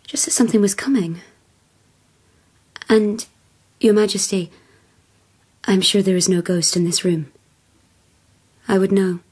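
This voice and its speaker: Breathy, unafraid feral girl. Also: mid-range voice, steady tone, a little slow, long pauses, a little mellow. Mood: calm, halting. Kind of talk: radio drama acting.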